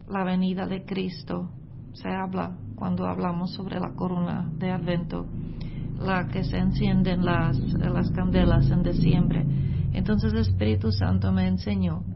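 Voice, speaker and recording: A woman whose first language is Czech preaches a Christmas devotional with gravelly fry in her voice and a flat, even delivery. She speaks slowly and carefully, pausing often as she searches for words in a language that is not her own. The recording sounds dull and lo-fi, with a faint hiss behind her. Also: lowish voice. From Czech Republic. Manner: careful non-native Spanish with frequent hesitations. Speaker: missionary preacher.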